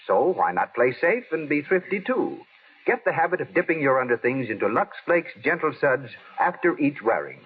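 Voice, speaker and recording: A man delivering a scripted vintage radio advertising pitch as the announcer. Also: a little hiss or hum. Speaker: commercial announcer. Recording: tinny old radio broadcast.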